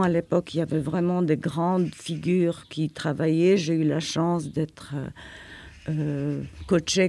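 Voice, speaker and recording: Older woman, non-native French, former journalist looking back; gravelly, halting delivery. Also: low voice, darkish tone, no room sound. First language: not French. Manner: hesitant, many fillers and repeated words. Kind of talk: reflective interview answers.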